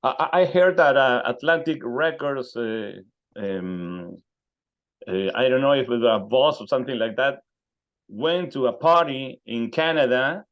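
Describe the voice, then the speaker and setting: A man's voice: medium pitch, animated, a little slow, highs cut off. A man with a Peruvian Spanish accent, a native Spanish speaker working as a radio interviewer, searching for words as he asks conversational questions. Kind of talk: conversational interview in a second language.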